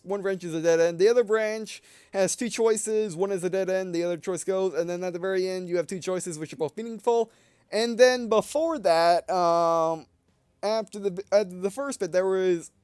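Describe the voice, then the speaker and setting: A man's voice: sits high, a little mellow, smooth, dry recording, clean studio sound. A man giving casual, unscripted game commentary as a let's-play host, rambling and hesitant, often correcting himself mid-sentence.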